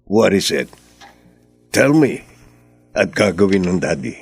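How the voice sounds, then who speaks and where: A low, gravelly doting Filipino dad. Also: male voice, big pitch swings, takes it slowly, darkish tone, soft background music, heavily acted. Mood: fond, indulgent. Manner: indulgent, teasing. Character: doting, indulgent father of a spoiled daughter. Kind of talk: Filipino radio drama acting.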